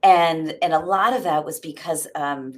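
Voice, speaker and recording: A woman's voice: deep voice, moderate pace. A woman speaking as an executive guest in an interview, explaining conversationally and often checking in with the listener.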